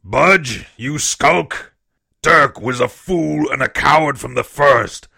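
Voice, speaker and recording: A man voicing a furious, domineering blind pirate leader in an audiobook, bellowing out drawn-out commands. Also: on the high side, gravelly, bright, forceful tone, exaggerated voice. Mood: furious, desperate. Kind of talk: audiobook character voice.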